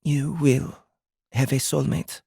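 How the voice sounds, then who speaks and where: A cryptic female psychic for an audiobook drama. She speaks slowly in a creaky, fry-laden voice, ominous and drily sardonic. The recording is close and free of room sound. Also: a bass voice, level tone, dark tone, no room sound, full character voice. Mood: deadpan. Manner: slow, portentous, dry.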